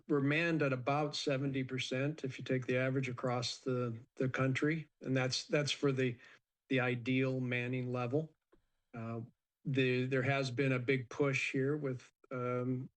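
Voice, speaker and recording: An American man speaking as an expert interviewee, giving unscripted commentary in a measured, matter-of-fact, explanatory way. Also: mid-range voice.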